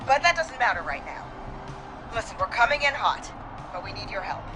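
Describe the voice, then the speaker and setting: A woman's voice: mid-range voice, sing-song, brisk, music in the background, lightly acted. A woman playing a confident, folksy small-town police deputy, speaking with casual resolve in a dramatic scene. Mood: brisk, determined. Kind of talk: dramatic game voice acting.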